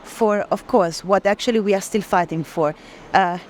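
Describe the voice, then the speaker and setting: A woman giving an explanatory gallery presentation at an art fair, pausing to search for words as she goes. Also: medium pitch, a little mellow. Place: busy art fair hall. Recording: clear ambient noise from a busy venue.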